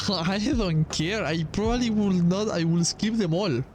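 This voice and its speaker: High-pitched man commentating on a game. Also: a little slow. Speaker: gaming video host. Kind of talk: casual game playthrough commentary, reading dialogue aloud. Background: music behind the voice.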